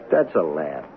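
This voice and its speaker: An actor playing a shifty small-time hustler in a radio drama, talking slangy, hesitant and streetwise. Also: male voice, a bass voice, slight background noise, heavily acted. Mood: scheming. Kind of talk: radio drama acting with first-person narration. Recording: tinny 1970s radio broadcast, crowd behind.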